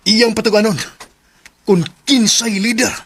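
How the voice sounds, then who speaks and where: A man playing an excitable, anxious son in a Filipino radio drama, with a Cebuano accent. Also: high voice, unhurried, very noisy. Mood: agitated.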